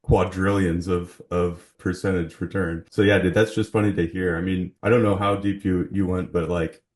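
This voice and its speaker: Low-voiced male podcast host, echoey room. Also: steady tone, normal speed, darkish tone. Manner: casual slangy enthusiasm. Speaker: podcast host and company co-founder. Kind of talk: podcast introduction and conversational interviewing. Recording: roomy, echoey voice recording.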